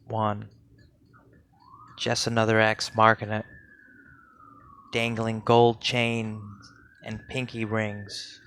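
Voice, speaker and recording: A man reads poetry aloud in a solemn spoken-word style, with deliberate, measured phrasing and pauses. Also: fairly low pitch, monotone, fairly bright tone, a little hiss or hum. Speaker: poetry reader.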